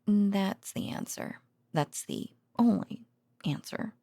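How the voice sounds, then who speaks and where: Female VA as weary, affectionate scientist girlfriend for intimate audio roleplay. Gravelly fry, dry close sound, hesitant rambling, wry teasing. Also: medium pitch, lively intonation, slow pace, mellow, dry recording, exaggerated voice. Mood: weary, wry complaining. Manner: hesitant, rambling, sighing, wryly sarcastic. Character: tired, teasing affectionate girlfriend, a researcher at a secret containment foundation. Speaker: voice actor.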